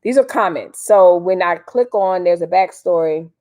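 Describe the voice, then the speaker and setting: A woman's voice: on the low side, moderate pace, mellow. An American woman hosting a casual livestream, rambling informally in folksy slang as she answers viewers. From Miami, United States. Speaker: livestream host. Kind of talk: casual live chat with commentary.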